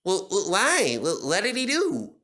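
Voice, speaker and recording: A man's voice: wildly swooping pitch, measured pace, pushed and bright, exaggerated voice. A man voicing a cheeky young steam engine in an impudent singsong, in a storybook reading. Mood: teasing, curious. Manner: high-pitched, impudent singsong.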